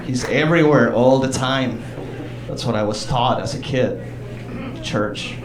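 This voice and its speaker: A man from Chile performs live stand-up comedy, talking conversationally with pauses and bursts of exclamation. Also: medium pitch, a crowd in the background. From southern Chile. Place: small comedy theater stage, indoors. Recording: roomy live club sound, distant, audience. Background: audience laughter.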